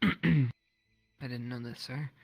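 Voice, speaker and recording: A man's voice: medium pitch, drawn-out words, no room sound, studio quality, heavily acted. A man playing a polite, hesitant townsman in an improvised fantasy roleplay, answering deferentially. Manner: polite, hesitant, throat-clearing, short replies. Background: music.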